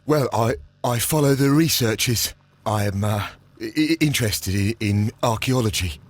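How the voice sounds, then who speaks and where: A man's voice: mid-range voice, moderate pace, lightly acted. Man playing a nervous, stammering, haunted councillor. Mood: nervous, apologetic. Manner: heavy stammer, hesitant, apologetic. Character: nervous, haunted, guilt-ridden male councillor and archaeology enthusiast. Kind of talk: scripted video game acting.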